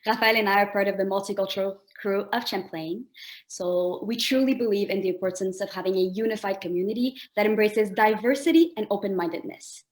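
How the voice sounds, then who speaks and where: A woman's voice: medium pitch, fairly quick. A young woman, a first-year college student, politely reading formal prepared remarks and interview questions. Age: young adult.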